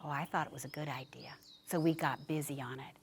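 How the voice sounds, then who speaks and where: A woman reading a personal childhood memoir aloud in a measured storytelling way. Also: low-pitched, fairly even intonation, creaky, a little hiss or hum. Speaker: story reader.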